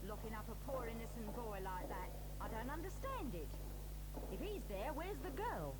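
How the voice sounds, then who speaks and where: A shrill scolding crook's wife. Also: female voice, big pitch swings, moderate pace, very noisy. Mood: grumbling. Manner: indignant, scolding. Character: coarse, shrill mother of a kidnapping gang. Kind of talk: 1980s audio drama acting.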